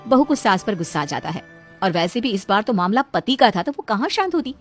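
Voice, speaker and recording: A woman's voice: medium pitch, sing-song, fairly quick, faint music behind. A woman narrating a scripted comic moral story as the storyteller.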